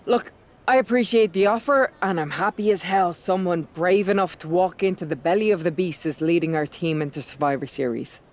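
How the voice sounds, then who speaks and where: A woman's voice: lowish voice, fairly quick, a little mellow, close to an everyday voice. A woman playing a determined, headstrong wrestler in a game cutscene, firm and earnest. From Dublin, Ireland. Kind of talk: video game cutscene dialogue.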